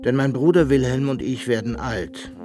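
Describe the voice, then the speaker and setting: An actor playing an elderly fairy-tale collector, narrating his memories in a measured way for a children's radio play. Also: mid-range voice, music in the background, natural voice. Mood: wry reminiscing. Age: older.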